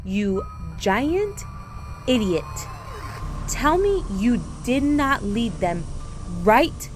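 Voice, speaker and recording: Hardened woman fighter acted by a woman for radio drama: blunt, commanding, high-voiced, swooping intonation, drawn-out words. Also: sing-song, music in the background, exaggerated voice. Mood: angry disbelief. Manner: commanding, emphatic, deliberate.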